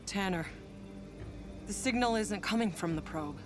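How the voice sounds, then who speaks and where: A woman's voice: mid-range voice, unhurried, close to an everyday voice. Woman acting a tough female sci-fi scout, music behind. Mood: focused. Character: tough foul-mouthed female scout on an alien planet. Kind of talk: video game cutscene acting.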